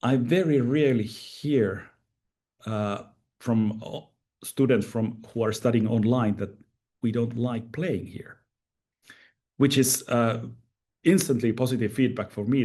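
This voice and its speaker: A man's voice: fairly low pitch, warm tone. A man presenting at an online conference, thoughtful and prone to restarts.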